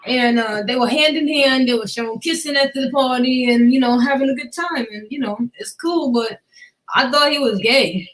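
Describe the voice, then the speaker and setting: A woman's voice: high-pitched, moderate pace, fairly bright tone, rough recording. A woman co-hosting a podcast, presenting celebrity gossip in a casual, slangy, sweary way. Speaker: podcast co-host.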